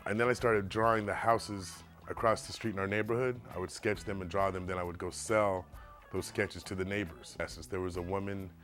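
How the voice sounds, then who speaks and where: A middle-aged man who paints shoes, talking about his work in a documentary interview in a relaxed, rambling way with many fillers. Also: low voice. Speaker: shoe painter.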